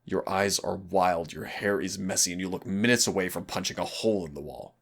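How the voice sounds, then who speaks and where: A man playing a teasing but stern demon hunter in an audio roleplay, speaking deliberately with dramatic pauses in a gravelly, husky voice. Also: low-pitched. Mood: stern, coaxing. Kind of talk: audio roleplay acting, intimate second-person dialogue.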